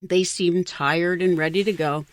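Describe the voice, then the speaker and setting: A woman giving an expressive scripted reading of a personal short story. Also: on the low side, measured pace, no echo. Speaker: writer or reader of a short story.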